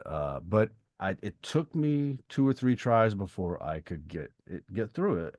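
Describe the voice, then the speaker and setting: A man in his fifties co-hosting a podcast, talking reflectively and thoughtfully with pauses between phrases. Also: sits low, a little mellow, no room sound. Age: 50s. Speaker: podcast co-host. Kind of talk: reflective conversational podcast talk.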